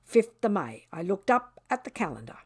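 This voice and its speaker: A woman's voice: medium pitch, big pitch swings, normal speed, darkish tone, husky. A woman voicing a vain, grumbling pet cat telling its story in a children's book, with dramatic, sardonic emphasis. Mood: shocked, grim.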